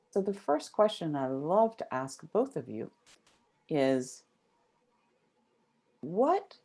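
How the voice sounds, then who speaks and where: A woman's voice: low-pitched, warm tone. A woman moderating an online panel on a video call, friendly and inviting as she puts questions to the guests.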